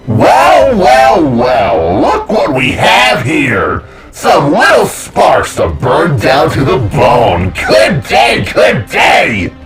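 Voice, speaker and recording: A man's voice: mid-range voice, huge pitch swings, drawn-out words, hardly any pauses, raised voice, loud music behind the voice, heavily acted. A man voicing a huge, mocking, gloating male monster in an audio drama. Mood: gloating bellow. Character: huge taunting glass-bodied cube-headed male monster. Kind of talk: audio drama villain acting.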